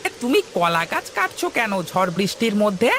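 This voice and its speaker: A woman's voice: medium pitch, big pitch swings, brisk, fairly bright tone. A woman voicing a sly, greedy, boastful male servant in a cartoon, with a fawning, scheming manner. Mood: scheming, smug. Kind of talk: cartoon dialogue. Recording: faint rain noise behind voice.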